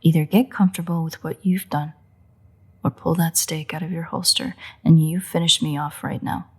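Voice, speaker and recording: A woman playing a playful, sardonic, bloodthirsty but secretly caring vampire in a roleplay audio drama, with wry, husky, often breathy delivery. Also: deep voice, fairly even intonation. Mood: taunting, defiant. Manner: wry, teasing, unhurried delivery with pauses.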